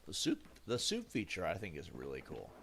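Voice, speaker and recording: Deep-voiced man in his thirties rambling. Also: fairly quick, vocal fry. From United States. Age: 30s. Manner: rambling, lots of fillers and self-corrections. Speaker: gaming streamer. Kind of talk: casual chat while playing a game. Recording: steady background noise.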